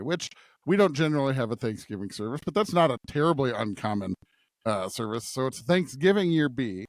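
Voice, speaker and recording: A man's voice: medium pitch, no echo, clean studio sound. A man co-hosting a podcast, casually discussing scripture and thinking aloud as he checks in with his partner. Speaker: podcast co-host.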